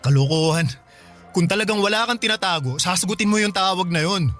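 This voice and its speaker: High-pitched, intense young boyfriend. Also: male voice, a little fast, fairly bright tone, faint music behind. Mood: suspicious, demanding. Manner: intense, insistent, emotional. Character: suspicious, insistent young boyfriend who turns protective. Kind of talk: Filipino radio drama acting.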